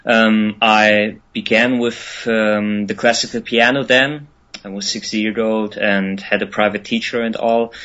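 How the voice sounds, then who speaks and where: A young man with a German accent speaks slowly and haltingly, filling pauses with ums and uhs. His voice sits a little low. The recording is dull and lo-fi, like an online radio call. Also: a bit bright. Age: 20s. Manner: hesitant, many ums and uhs, laughs often. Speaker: interview guest, musician. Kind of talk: casual interview answers.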